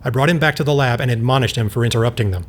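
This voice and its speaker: A man's voice: mid-range voice, rapid-fire, close to an everyday voice. An actor plays a blunt, self-controlled scientist in an audio drama. His mid-range voice is firm, clinical and unsympathetic, with faint noise in the background. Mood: matter-of-fact. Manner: matter-of-fact, firm, clinical. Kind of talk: audio drama acting with narrated testimony.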